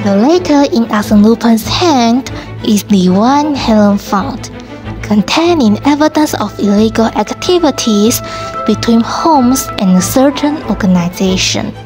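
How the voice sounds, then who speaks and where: A woman tells the story of a radio drama from a script. Her voice is on the high side. Music is clearly present underneath her narration. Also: animated, unhurried.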